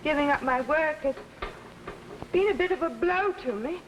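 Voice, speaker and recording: High-voiced demure young pianist. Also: female voice, lively intonation, normal speed. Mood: composed, subdued. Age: young adult. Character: ambitious young woman pianist, outwardly demure, secretly scheming. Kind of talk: 1950s television drama acting. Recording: noisy, aged 1950s television soundtrack.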